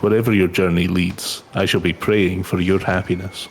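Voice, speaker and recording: Man voicing a low, solemn wandering minstrel. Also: flat delivery. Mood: heartfelt. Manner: solemn, measured, poetic delivery. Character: gentle, earnest male wandering minstrel bidding farewell. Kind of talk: video game dialogue read aloud. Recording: music behind the voice.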